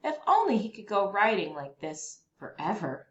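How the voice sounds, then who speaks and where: A woman reading a children's picture book aloud as a storyteller, with expressive, deliberate phrasing for young listeners. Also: mid-range voice, muffled recording. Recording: roomy echo, faint background noise.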